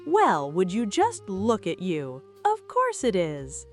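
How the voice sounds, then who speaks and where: A woman's voice: mid-range voice, huge pitch swings, takes it slowly, soft background music. A woman voicing a large, cheerful, indulgent father in a bedtime story, hearty and folksy. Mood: proud. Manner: hearty, folksy, mock-stern.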